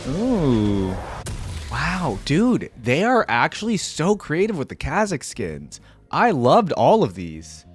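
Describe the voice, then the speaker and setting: A man's voice: fairly high pitch, huge pitch swings, slow pace. A man giving casual, off-the-cuff reaction commentary as a video host, thinking aloud in an informal, exclamatory way.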